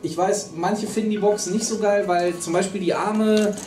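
A man's voice: high voice, steady tone, normal speed, darkish tone, reverberant, far from the mic, faint music behind. A man in his thirties co-hosting a casual chat, rambling enthusiastically and full of slang. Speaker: co-host. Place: small hobby workshop indoors.

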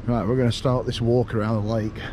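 A young man giving a relaxed, chatty walking tour outdoors, pointing things out as he goes, recorded on a handheld camera. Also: mid-range voice, fairly quick, noisy background. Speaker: vlogger. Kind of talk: casual walking tour commentary. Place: outdoors walking around a lakeside town.

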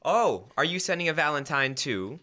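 An excitable suburban dad from an old radio comedy, played by a teenage male. His voice is bright and swings up and down freely as he meddles in family affairs. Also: fairly high pitch, normal speed. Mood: amused. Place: school library. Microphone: standing stage microphone.

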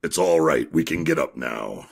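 Guy doing a grumpy tough time traveler for audio drama, super gravelly and husky, doesn't care about anything. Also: very deep voice, lively intonation, normal speed, heavily acted. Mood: gruff.

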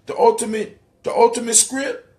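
A man's voice: extremely high pitch, steady tone, moderate pace, dark tone. A middle-aged American man hosting a casual livestream monologue, conversational, swearing casually and repeating phrases for emphasis. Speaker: livestream host. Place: dim living room indoors. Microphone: phone or webcam at arm's length.

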